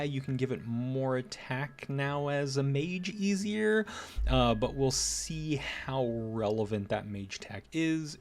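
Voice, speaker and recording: Casual man presenting game updates. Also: mid-range voice. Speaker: presenter of game patch notes.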